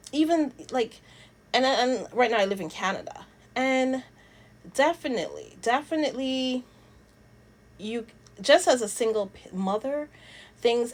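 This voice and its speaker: A woman from Barbados presents casual commentary as a channel host. She speaks at a middling pitch in a halting manner, restarting phrases and repeating words as she makes her points or reads aloud. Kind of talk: casual vlog commentary, reading statements aloud.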